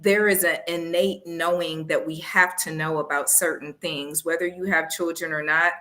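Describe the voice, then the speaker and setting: A woman's voice: low voice, fairly even intonation, moderate pace, sharp tone. A woman co-hosting a podcast, talking in a blunt, emphatic way and cursing freely. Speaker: podcast co-host. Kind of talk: casual chat.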